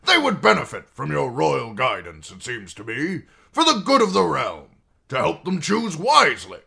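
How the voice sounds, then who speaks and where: A man voicing a fawning, scheming middle-aged lord with an oily, flattering manner. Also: on the high side, normal speed, vocal fry, breathy edge, pitched above the performer's own voice, heavily acted. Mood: wheedling.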